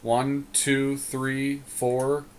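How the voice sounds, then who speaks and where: A man's voice: mid-range voice, completely flat delivery, extremely slow. A man co-hosting a podcast, casually thinking aloud as he comments on a card game draft. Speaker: podcast co-host. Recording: clearly noisy background.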